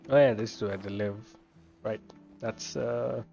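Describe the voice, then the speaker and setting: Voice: mid-range voice, fairly even intonation, measured pace, creaky, dark tone, lo-fi sound. A video game streamer giving casual playthrough commentary, offhand and muttering to themselves. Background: game music.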